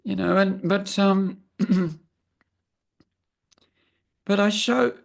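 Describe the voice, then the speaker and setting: Croaky, gravelly woman in her sixties. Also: medium pitch, very slow. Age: 60s. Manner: earnest, thinking aloud with many restarts. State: croaky from travel. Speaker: interview guest. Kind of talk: reflective personal conversation. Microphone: video call.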